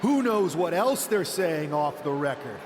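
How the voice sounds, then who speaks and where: A long arena echo carries a cocky male wrestler's promo in a video game. He sounds fairly high and animated, punchy and provoking. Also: unhurried, noisy background. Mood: goading, cocky.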